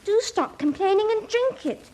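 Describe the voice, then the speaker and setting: A woman's voice: very high-pitched, lively intonation, moderate pace, darkish tone. A woman playing an eerie, sweetly insistent young girl in a mid-century radio comedy, serene and coaxing.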